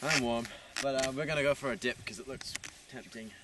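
A man's voice: mid-range voice, steady tone, brisk. A teenage boy chatting in a playful, rambling way while camping with friends on a farm, recorded outdoors on a handheld camera. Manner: playful, rambling, laid-back. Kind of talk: casual chat among friends. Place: outdoors on a rural farm, by a tent and dam. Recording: outdoor camera audio with loud wind and background noise.

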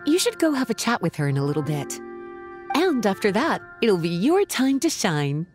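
A woman's voice: medium pitch, sing-song, normal speed, a little mellow, soft background music, close to an everyday voice. An actress playing a playful, nurturing woman mentor in a video game, coaxing and instructing. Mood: guiding. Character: teasing, nurturing adult woman alchemy mentor.

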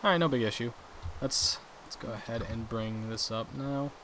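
A young man casually commenting on his own gameplay, chatty and quick to laugh at his mistakes. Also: medium pitch, background noise. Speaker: gaming channel host.

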